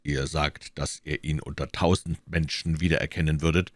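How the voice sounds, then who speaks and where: Man playing a low, cold, suspicious police commissioner. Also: steady tone, moderate pace, no echo, clean studio sound. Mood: stern, suspicious. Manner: stern, indifferent, probing. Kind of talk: acted audiobook dialogue.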